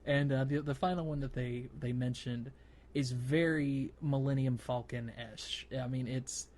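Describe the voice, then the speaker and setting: A man co-hosting a casual gaming podcast, talking in a halting, rambling way with repeated words. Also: medium pitch, steady tone, a little mellow. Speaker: podcast co-host.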